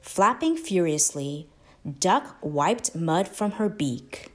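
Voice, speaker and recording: Slow, animated woman reading a storybook. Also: fairly low pitch, soft background music. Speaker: storybook narrator, host of read-aloud channel. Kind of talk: expressive children's read-aloud.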